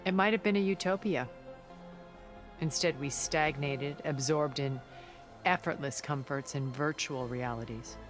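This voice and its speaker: A middle-aged woman of a far-future elite, with a low voice and measured, theatrical phrasing. She is worldly and articulate, a regretful schemer in a game cutscene, with music clearly playing behind her. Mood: grave regret. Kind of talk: dramatic video game cutscene acting.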